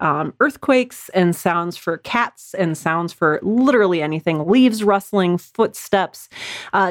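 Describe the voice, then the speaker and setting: A woman's voice: on the low side, no room sound, clean studio sound. A woman podcast guest talking candidly and casually, explaining her creative process and giving advice in a chatty way. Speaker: interview guest, audio drama podcaster. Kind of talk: casual interview talk, sharing advice.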